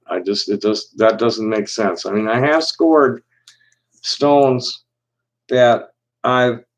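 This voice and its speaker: A man hosting a solo livestream, reading aloud slowly and deliberately, then chatting in a halting, rambling way with many restarts. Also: on the low side, rough recording. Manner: reads aloud with deliberate slow pauses. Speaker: livestream host. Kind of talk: casual solo livestream chat.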